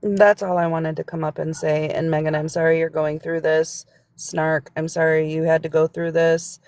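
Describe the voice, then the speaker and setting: A woman with a lowish voice speaks earnestly on a livestream, lecturing and at times searching for her words. The sound is dry and close. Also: flat delivery, normal speed, darkish tone, no echo. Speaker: livestream guest. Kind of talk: casual livestream chat.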